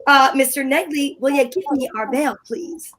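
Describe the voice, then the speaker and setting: Woman acting an anxious, high-voiced housewife. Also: normal speed, a bit bright. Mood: impatient. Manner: impatient, insistent. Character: anxious, pushy, socially slighted housewife. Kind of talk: old time radio comedy acting.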